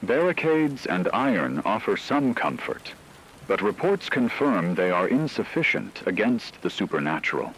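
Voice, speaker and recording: A man playing a grave radio reporter, narrating a supernatural catastrophe in a solemn, deliberate, scripted news style. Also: on the low side, very animated, a bit bright, a little hiss or hum, rough recording. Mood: grave, ominous.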